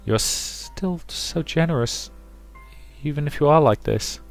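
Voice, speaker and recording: A man voicing a timid, gentle young girl in a visual novel, softly and hesitantly. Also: fairly high pitch, measured pace, creaky, soft background music, voice pushed up. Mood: shy, tentative. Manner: soft, hesitant.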